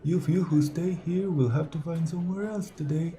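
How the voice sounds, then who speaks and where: Man putting on a high-pitched, formal schoolteacher. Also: moderate pace, mellow, close to an everyday voice. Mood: polite, matter-of-fact. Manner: formal, announcing. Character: schoolteacher making an announcement to the class. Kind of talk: scripted audio roleplay.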